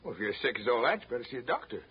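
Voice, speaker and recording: An actor playing a blustering but kind-hearted old riverboat captain in a 1930s radio drama, with a raspy, folksy, sputtering American delivery. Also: male voice, medium pitch, very animated, rapid-fire. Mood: folksy, concerned. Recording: muffled 1930s radio broadcast recording.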